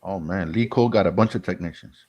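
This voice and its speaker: A man's voice: sits low, fast, a little mellow. A man co-hosting a casual livestream, chiming in with laid-back, brief remarks. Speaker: show co-host.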